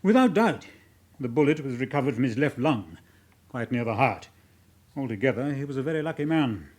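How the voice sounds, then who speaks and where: An actor playing a reserved British hospital registrar in a radio drama, formal, measured and clinical. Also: male voice, medium pitch, animated, darkish tone, natural voice.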